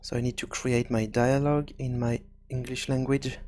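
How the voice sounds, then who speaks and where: A man hosting a programming tutorial, thinking aloud in a halting, hesitant way as he works through each step. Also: medium pitch, flat delivery, a little mellow. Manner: hesitant, halting, working things out as he speaks. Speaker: video game programming tutorial host.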